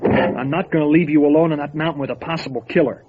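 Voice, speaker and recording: An actor playing an anxious, feisty, loyal deputy in an old time radio drama, urgent and protesting. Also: male voice, on the high side, fairly even intonation, fast, lightly acted. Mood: worried protest. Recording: dull lo-fi audio with light noise.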